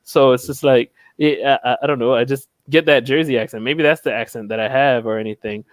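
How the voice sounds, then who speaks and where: A man's voice: medium pitch, fairly even intonation, brisk, dry recording. A young man from Philadelphia, a panel guest in casual chat on a video call from home, rambling and losing his train of thought. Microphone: headset.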